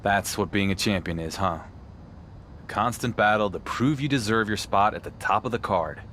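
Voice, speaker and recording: An actor playing a determined underdog wrestling champion in a video game, blunt and sardonic. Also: male voice, fairly low pitch, moderate pace, fairly bright tone, a little hiss or hum, lightly acted. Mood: reflective, dry. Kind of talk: video game cutscene acting.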